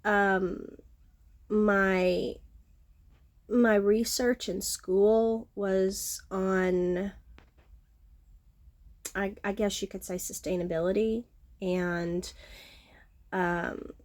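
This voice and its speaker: A middle-aged woman with a nasal, sniffly voice, chatting casually on her home vlog and filling pauses with ums. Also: mid-range voice, fairly even intonation. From Texas Gulf Coast. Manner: frequent ums, self-deprecating, chatty. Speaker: vlogger, horticulturist. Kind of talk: casual talking-to-camera vlog. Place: outdoors at home, front porch.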